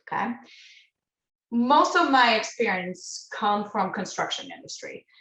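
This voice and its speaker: A woman from Lithuania with a Lithuanian accent, presenting a business webinar in earnest, halting English with self-corrections. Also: mid-range voice, expressive, fairly bright tone, reverberant, low-quality recording.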